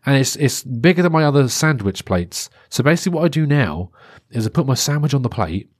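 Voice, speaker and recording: A man's voice: medium pitch, very animated, brisk, husky, no echo, studio quality. Congested man rambling on a podcast. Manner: rambling and chatty, many restarts and fillers. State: stuffy-nosed, congested. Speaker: podcast host. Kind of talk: solo podcast monologue.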